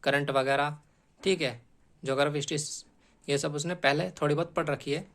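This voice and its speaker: A man's voice: on the high side, fairly even intonation, brisk, a bit bright. A man explaining exam strategy like a coaching teacher, repeating key points emphatically and often checking in with short tag questions.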